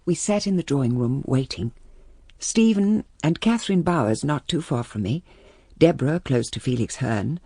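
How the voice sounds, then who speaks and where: Husky, creaky older English gentlewoman. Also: extremely low pitch, no room sound, studio quality, heavily acted. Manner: measured, reflective, well-mannered. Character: composed, genteel mother of a troubled country-house family. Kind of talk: radio drama acting, intimate first-person narration.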